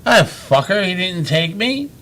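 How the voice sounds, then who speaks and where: Loud, mocking man on a live show. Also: sits high, big pitch swings, measured pace. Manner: loud, mocking, crude joking. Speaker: co-host of a live web show. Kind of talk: casual crude banter on a live stream.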